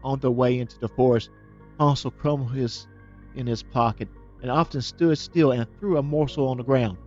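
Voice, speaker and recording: A man reading a fairytale aloud as a storyteller, steady and plain, now and then restarting a word. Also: medium pitch, normal speed, lo-fi sound, faint music behind.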